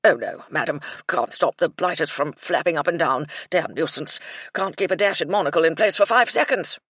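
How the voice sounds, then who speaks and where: A narrator voicing a hearty, addled old army colonel who talks loudly in bursts of exclamation. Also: male voice, on the high side, moderate pace, husky, no room sound, studio quality. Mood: blustering. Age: older.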